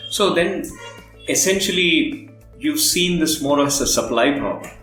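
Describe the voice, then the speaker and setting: A man's voice: a little high, expressive, measured pace, fairly bright tone. A man with an Indian accent interviewing a guest conversationally as a podcast host. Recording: roomy echo, faint guitar music underneath.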